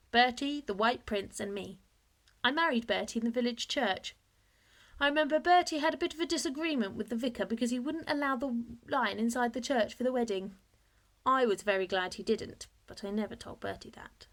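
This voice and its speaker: Lady teacher reading a kids book out loud, slightly high voice, measured but expressive. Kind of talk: children's storybook narration in first person.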